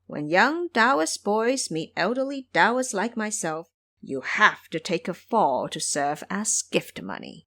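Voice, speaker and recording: A woman's voice: medium pitch, sing-song, takes it slowly, a bit bright, no room sound, full character voice. Woman voicing a brash, swooping Monkey King trickster. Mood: sly, smug. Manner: sly, boastful, theatrical. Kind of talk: audio drama character acting.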